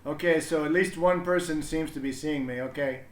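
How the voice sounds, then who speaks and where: A man's voice: on the high side, brisk. Man rambling in an echoey room. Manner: hesitant, rambling, thinking aloud. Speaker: parent hosting a livestream storybook reading. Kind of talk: casual livestream setup chat. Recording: echoey room with background noise.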